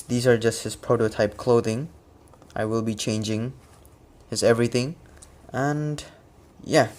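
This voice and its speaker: A man casually explaining his custom figure work step by step, with occasional fillers. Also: medium pitch. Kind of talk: casual product walkthrough.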